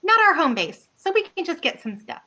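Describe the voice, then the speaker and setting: A woman's voice: very high voice, big pitch swings, fairly quick, sharp tone. A chirpy, eager woman playing a tabletop role-playing game in character over a video call. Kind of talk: casual in-character role-play chat.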